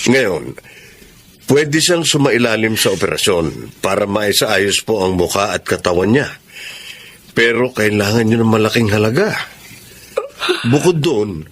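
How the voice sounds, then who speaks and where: A man's voice: fairly low pitch, fairly bright tone, a little hiss or hum. A Filipino man acting a grave, sympathetic doctor in a radio drama, with a sober manner. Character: grave, sympathetic male doctor breaking bad news.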